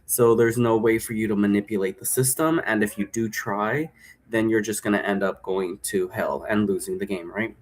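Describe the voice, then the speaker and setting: A man's voice: fairly low pitch, steady tone, normal speed. A man explaining a role-play game in a casual, improvised, rambling way, often checking for agreement. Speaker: game creator explaining rules.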